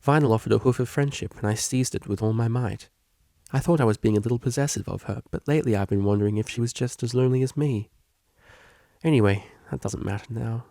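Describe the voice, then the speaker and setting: A man's voice: mid-range voice, fast talker, creaky, dark tone, no room sound, clean studio sound, lightly acted. A man voicing a prim, guarded young female pony student with a formal, hesitant manner in a story reading. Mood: hesitant, confessing.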